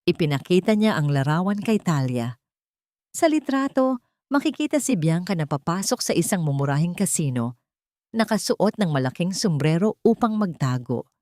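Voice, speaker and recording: Female audiobook narrator, Filipino accent, slightly low voice with very animated pitch, close dry recording. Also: normal speed, no echo. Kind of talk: scripted dramatic story narration.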